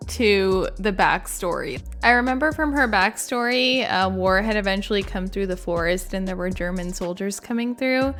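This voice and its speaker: Female gaming creator, mid-pitched, casual and chatty reaction commentary with light music underneath. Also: animated, normal speed, hardly any pauses, a bit bright. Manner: informal, chatty, frequent "like".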